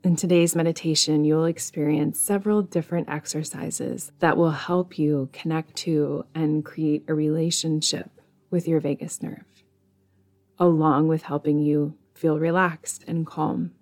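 A woman leading a guided meditation in a soothing, measured way, leaving long pauses between phrases. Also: low voice, fairly even intonation, a little mellow. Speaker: meditation guide, podcast host.

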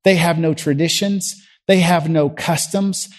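A middle-aged man with a Southern US accent, preaching a conversational sermon from a church platform. He questions the congregation and moves between loud and quiet. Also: a little high, steady tone, measured pace.

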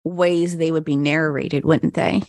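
Woman host, medium pitch, dry close studio sound, friendly interviewer. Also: steady tone, unhurried, gravelly, a little mellow, dry recording. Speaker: podcast host. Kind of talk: hosting an interview.

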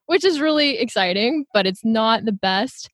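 A young woman in her twenties from Texas hosting a podcast from a home closet over a video call, upbeat and quick to laugh as she asks her questions. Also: a little high, animated, a bit bright, no echo, studio quality. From Houston, Texas. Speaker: podcast host. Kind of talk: scripted-sounding interview questions.

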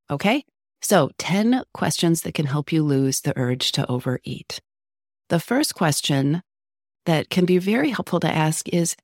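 A woman's voice: deep voice, a little mellow, dry recording. Woman with a sore throat, bit scratchy, coachy podcast talk, dry close sound. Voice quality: slightly scratchy. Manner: earnest, coaching, slows down on key points. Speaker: podcast host, psychologist. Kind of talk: solo advice podcast.

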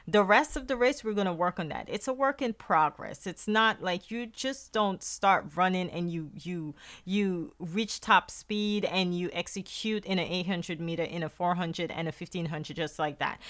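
A woman's voice: on the low side, sharp tone. A middle-aged woman from Guyana with a Guyanese accent, speaking earnestly in a faith-based podcast monologue. She often repeats words as she restarts a phrase. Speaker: podcast host.

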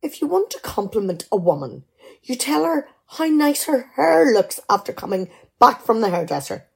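A woman playing a nagging, exasperated, sharp-tongued middle-aged wife in a radio play. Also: fairly high pitch, big pitch swings, moderate pace, gravelly, husky, heavily acted. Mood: indignant. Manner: exasperated, sarcastic scolding.

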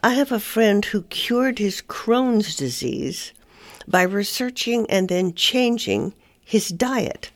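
Woman, columnist, reading aloud, wry, self-mocking, lively rising and falling voice, dry close sound. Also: mid-range voice, slow, dry recording. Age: older. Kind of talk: reading a humorous personal column.

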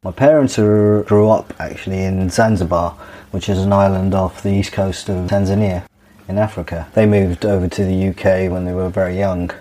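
A man in his thirties who grew up in Dubai, telling his life story as a podcast guest, halting and restarting his sentences often. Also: low voice, steady tone, mellow. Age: 30s. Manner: halting, with many restarts and fillers.

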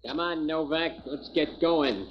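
An actor playing a nervous, impatient gambler in a 1940s radio drama, curt and fidgety. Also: male voice, fairly high pitch, a little slow, close to an everyday voice. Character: jittery, impatient gambler with something to hide. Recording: muffled, noisy 1940s radio recording.

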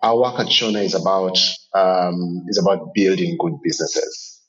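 A man from Uganda giving thoughtful interview answers as a podcast guest, explaining in a measured, earnest way. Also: deep voice, a bit bright, slightly rough, recorded from a distance, muffled recording. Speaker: podcast guest, agribusiness founder.

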